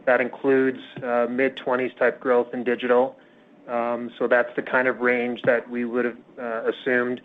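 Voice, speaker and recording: Flat-toned man on a tinny conference line. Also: medium pitch, a little slow. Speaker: company finance executive. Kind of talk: earnings call answers. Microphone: phone conference call.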